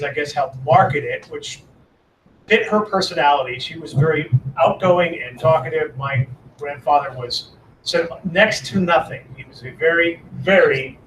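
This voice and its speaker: A man's voice: fairly high pitch, a bit bright, slight background noise. A middle-aged man moderating a public talk, chatty and joking with the audience. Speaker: moderator, interviewer. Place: lecture hall before an audience. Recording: roomy hall, from a distance.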